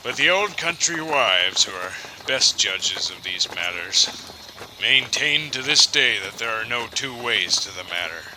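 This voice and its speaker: A man playing a storyteller who recounts an old ghost legend in a theatrical, drawn-out audio drama narration. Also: on the low side, expressive, sharp tone, a little hiss or hum, heavily acted, rough recording. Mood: ominous, dramatic.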